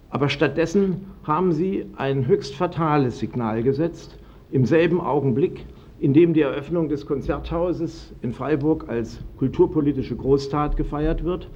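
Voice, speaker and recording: A man's voice: fairly high pitch, a little mellow. A man giving articulate, emphatic political commentary for the radio. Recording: slightly dull, faint background noise.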